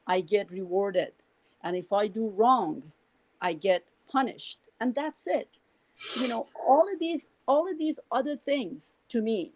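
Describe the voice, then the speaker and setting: Middle-aged woman from Iran, Persian accent, rambling and heartfelt, slightly high voice, dry close mic. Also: darkish tone, dry recording, rough recording. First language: Farsi. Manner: earnest, rambling with many restarts and "you know". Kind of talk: casual spoken reflection.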